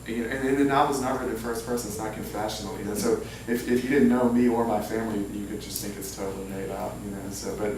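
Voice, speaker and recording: A man's voice: on the low side, steady tone, fast talker, few pauses. A man, speaking informally as an author at a public talk, with self-deprecating, anecdotal delivery. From Louisiana, United States. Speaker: author speaking at a book reading. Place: indoor humanities center event room. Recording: echoey, noisy hall, far from mic.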